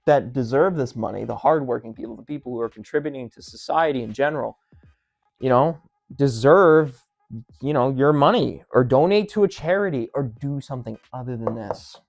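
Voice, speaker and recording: An online commentator delivers an opinionated rant straight to the listener at a medium pitch. The delivery is emphatic and pleading, circling back to repeat points for weight. Music plays behind the voice. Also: darkish tone. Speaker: video creator giving commentary.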